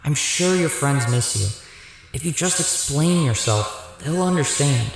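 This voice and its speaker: A man's voice: a little high, animated, a little slow, creaky. A man playing a serious, sincere leader of a band of heroes in an audio drama. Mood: earnest. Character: earnest, guilt-ridden male leader of heroes. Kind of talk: radio drama acting. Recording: very echoey, long reverb.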